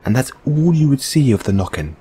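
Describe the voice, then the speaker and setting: A man playing a gentle, encouraging boyfriend in a comfort audio roleplay, speaking softly and haltingly with reassuring tag questions. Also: medium pitch, very animated, breathy edge. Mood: playful.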